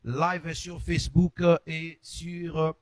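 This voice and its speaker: A man's voice: fairly high pitch, level tone, drawn-out words, no room sound. Man hosting, dry sound. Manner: affirming interjections, amen and hmm. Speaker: host.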